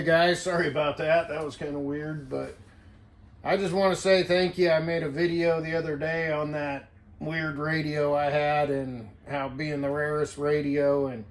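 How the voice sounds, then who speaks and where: A man hosting a casual hobby vlog, chatting informally to his viewers. His voice sits a little higher than average for a man. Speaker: CB radio hobbyist video host.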